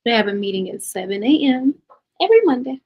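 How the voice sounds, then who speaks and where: A young woman from the United States hosts a relaxed interview, her voice sitting a little higher than most. She asks questions in a loose, easygoing way and answers with quick affirmations. She is heard over a video call. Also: dull audio. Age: young adult. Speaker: interview host. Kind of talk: casual interview.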